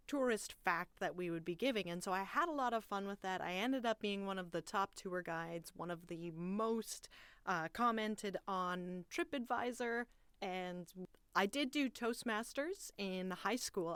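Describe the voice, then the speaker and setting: A woman's voice: mid-range voice, fairly bright tone, clean tone, no room sound. A young woman from Canada, a voice actor, telling her life story in a chatty, upbeat monologue. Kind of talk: personal bio monologue. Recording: dry, clean studio audio.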